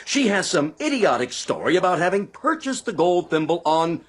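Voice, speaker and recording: A man's voice: high voice, very animated, normal speed. An actor playing a flustered, deferential male floor manager in a radio drama, fussy and exasperated as he over-explains. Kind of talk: theatrical radio drama acting.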